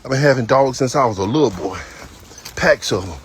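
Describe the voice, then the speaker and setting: A man's voice: medium pitch, animated, a little fast, slight background noise. Blunt folksy man in his fifties. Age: 50s. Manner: talkative, blunt, folksy storytelling. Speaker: dog trainer. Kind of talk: casual vlog while walking a dog. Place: outdoor nature trail.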